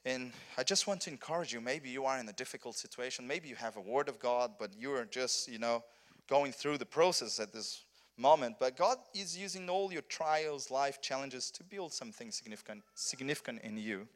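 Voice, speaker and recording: A man with a Brazilian accent preaches a conference sermon in English, his second language. He is earnest and keeps restarting sentences and repeating words. His voice sits at an ordinary middle pitch. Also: brisk. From southern Brazil. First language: Portuguese. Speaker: pastor.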